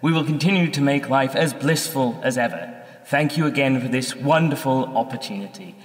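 An actor playing a self-assured senator making a formal, gracious political address in a radio drama. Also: male voice, mid-range voice, moderate pace, slight background noise, lightly acted. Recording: echoey hall with long reverb.

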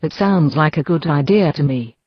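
A female chatbot voice from text-to-speech, reading its replies in a flat synthetic delivery. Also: deep voice, normal speed, husky, no room sound, highs cut off.

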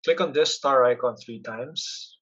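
A man presenting a software demo in an instructional, step-by-step explanatory style over a screen share. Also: medium pitch, measured pace, breathy edge, lo-fi sound.

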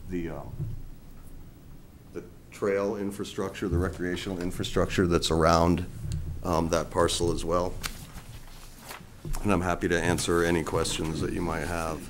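An older man in his sixties speaks into a table microphone in a meeting room. His voice is very deep and flat, delivered loud and plain-spoken. Faint room noise is heard behind him. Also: moderate pace, pauses often. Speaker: federal forest service representative presenting to a board.

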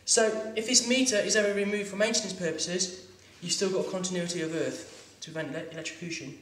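A fairly high-voiced man in his thirties walks learners through a plumbing rig in a workshop, practical and instructive, now and then stumbling and restarting a phrase. He is picked up from a little way off the mic, and the room rings with echo. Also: fairly even intonation, fairly quick, a bit bright, far from the mic, a little hiss or hum. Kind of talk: hands-on demonstration lesson. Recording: echoey room, voice a bit off-mic.

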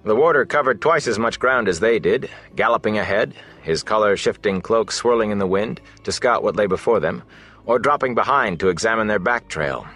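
A man narrating a fantasy novel as an audiobook, in a measured, expressive storytelling manner. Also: fairly low pitch, faint music behind.

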